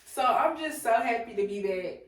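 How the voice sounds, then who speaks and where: A woman's voice: fairly high pitch, lively intonation, moderate pace. A woman in her late twenties co-hosting a podcast, chatting loosely and telling stories with lots of laughter. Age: 20s. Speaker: podcast co-host. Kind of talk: casual chat. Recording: echoey room, mic at a distance.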